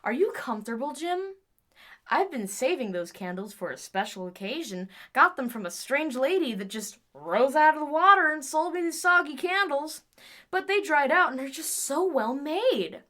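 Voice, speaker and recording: A woman's voice: sits high, expressive, normal speed, fairly bright tone. A woman playing an eccentric, obsessive inventor in an audio drama, proud and volatile, speaking in formal, clinical research notes. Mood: coaxing, giddy. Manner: formal clinical diction breaking into pleading outbursts. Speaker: voice actor.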